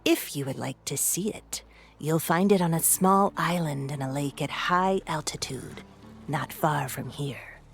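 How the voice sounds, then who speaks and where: Grave lorekeeper elder for a fantasy game, deep voice, takes her time, faint music. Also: gravelly. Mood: solemn. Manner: grave, measured storytelling. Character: solemn female clan elder and lorekeeper. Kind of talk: video game cutscene acting.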